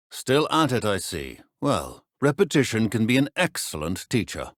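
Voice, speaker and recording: An actor playing a stern, middle-aged wizard professor in a video game, speaking formal British English in a measured, dry manner. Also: male voice, on the low side, dry recording. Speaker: professor character in a fantasy game. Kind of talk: scripted video game character dialogue.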